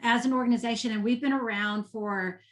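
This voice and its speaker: A woman's voice: a little high, fairly even intonation, brisk. A woman with a Texas accent, a guest in an interview, speaking with passion and repeating words for emphasis. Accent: Texan. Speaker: guest, nonprofit education executive.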